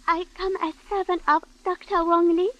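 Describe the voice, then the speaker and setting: An actress playing a composed, formal Chinese servant woman in a 1950s radio drama, speaking in measured, ceremonious phrases. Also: very high voice, level tone, a bit bright, no echo. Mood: solemn, insistent. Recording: dull vintage radio recording.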